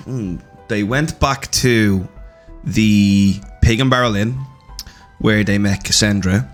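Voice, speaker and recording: A hoarse man, the game master, recapping a tabletop campaign in a casual, joking, swearing way. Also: lowish voice, fairly bright tone. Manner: joking, swearing, laughing often. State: hoarse, blew his voice.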